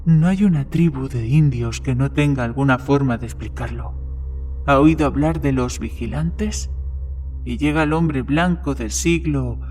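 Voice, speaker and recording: A man's voice: medium pitch, measured pace, darkish tone, soft background music, lightly acted. A man playing a serious young man who tells eerie legends in a horror story, earnest and ominous. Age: young adult.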